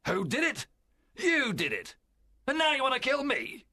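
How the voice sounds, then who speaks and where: A fierce, scheming pirate captain from a storybook. The voice is rough and rasping, with a bright forceful edge. He drawls slowly and swaggers through every line as the one in command. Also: high voice, sing-song, pitched above the performer's own voice, heavily acted. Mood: angry, scornful. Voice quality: gravelly, rasping. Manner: loud, swaggering, forceful delivery.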